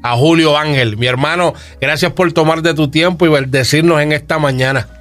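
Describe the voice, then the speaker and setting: Man, radio host, somewhat high voice, faint music behind. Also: a little fast, a bit bright. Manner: friendly, coaxing questions.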